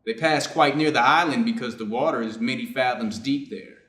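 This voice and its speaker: A man's voice: mid-range voice, normal speed, a bit bright, lightly acted. A man playing a reserved, conflicted Japanese doctor in a staged reader's theater drama, formal and guarded in his phrasing. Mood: resolved. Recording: roomy, echoing space.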